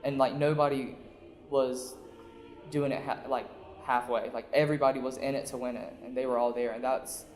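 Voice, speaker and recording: A man's voice: mid-range voice, level tone, moderate pace. Young male student interviewee, level delivery, very roomy sound with faint music behind. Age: young adult. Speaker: college choir singer. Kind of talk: casual interview recollection. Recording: echoey hall, faint music.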